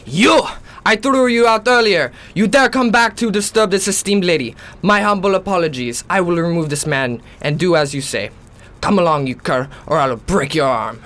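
A woman's voice: lowish voice, normal speed, fairly bright tone, pitched below the performer's own voice, lightly acted. A woman voicing a hotel keeper, a man who fawns over a lady and threatens an intruder, in an amateur radio drama. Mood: gruff.

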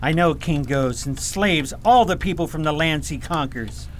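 Boy prince played by a man, serious, determined, higher pitch, fantasy audio drama with background noise. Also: normal speed, close to an everyday voice. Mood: urgent, insistent. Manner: terse, serious. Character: earnest, stubborn young boy prince. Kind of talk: fantasy radio drama acting.

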